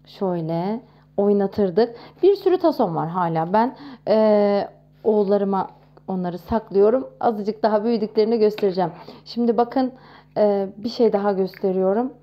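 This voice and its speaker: A woman in her thirties talking casually to camera in a chatty, expressive way, showing off nostalgic things. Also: medium pitch, normal speed, dull audio. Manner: chatty and expressive, with frequent exclamations. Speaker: vlogger.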